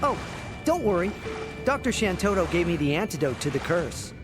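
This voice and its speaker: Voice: medium pitch, sing-song, moderate pace, natural voice. An actor playing a cheerful, laid-back young male athlete who is helpful and carefree, in a video game cutscene. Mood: reassuring. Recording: loud music behind the voice.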